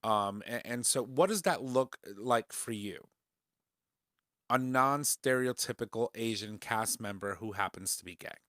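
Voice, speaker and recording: Man asking a calm question, dry sound. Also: mid-range voice, measured pace, no echo. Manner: calm questioner, brief affirmations.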